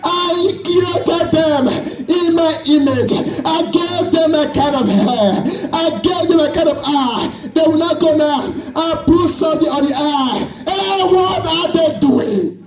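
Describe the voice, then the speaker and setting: Sermon role: grieving, pleading Jesus, acted by a male preacher, extremely high, wailing, echoey room. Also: slow pace, few pauses, soft background music. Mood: anguished pleading. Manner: plaintive, wailing, emphatic. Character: sorrowful, pleading Jesus lamenting over his children.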